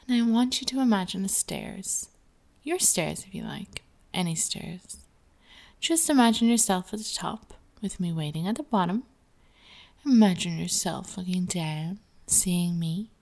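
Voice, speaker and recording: A woman speaking softly in a slow, commanding, hypnotic way, often sliding into a half-whisper, as a scripted ASMR hypnosis roleplay. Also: medium pitch.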